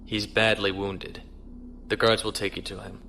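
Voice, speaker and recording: A man's voice: lowish voice, little pitch movement, moderate pace, pushed and bright, slight background noise. A man acting a stern, decisive young starship captain in a sci-fi audio drama. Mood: firm.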